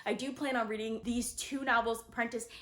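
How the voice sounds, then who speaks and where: High-pitched, enthusiastic woman chatting casually. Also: steady tone, brisk, a bit bright. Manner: enthusiastic, gushing, frequent "really" and "like". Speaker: book reviewer and vlogger. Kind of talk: casual chatty book haul talk to viewers.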